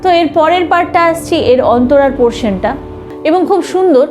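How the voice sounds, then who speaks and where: A woman's voice: high-pitched, animated, a little fast, music in the background. A woman giving an instructional singing lesson, explaining emphatically and mixing in English terms. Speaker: singing teacher. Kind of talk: instructional music lesson to camera.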